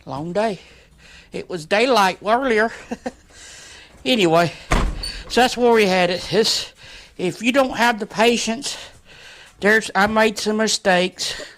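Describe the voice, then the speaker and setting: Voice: medium pitch, slow pace, pauses often, fairly bright tone. A vlogger giving a casual, rambling update while working on a motorcycle in a shed, joking along in folksy, colloquial grammar. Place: shed workshop.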